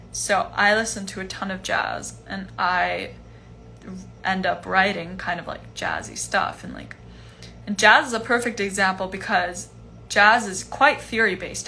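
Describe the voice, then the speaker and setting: A young woman in her twenties answering fan questions in a casual livestream from home, relaxed and chatty with lots of fillers. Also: medium pitch, vocal fry, slight background noise. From Iceland. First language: Chinese. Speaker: musician hosting a livestream Q&A. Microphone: phone livestream.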